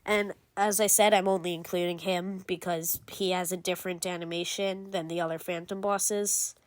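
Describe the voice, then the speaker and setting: A woman's voice: on the low side, steady tone, moderate pace, dry recording. A woman giving casual voice-over commentary as a video creator, rambling with lots of "like" and frequent self-corrections. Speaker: video creator ranking game cutscenes.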